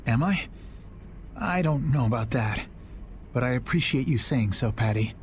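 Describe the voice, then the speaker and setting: An actor playing an earnest young male knight in a video game, speaking calmly and sincerely. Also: mid-range voice, slight background noise, close to an everyday voice. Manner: calm, sincere, measured.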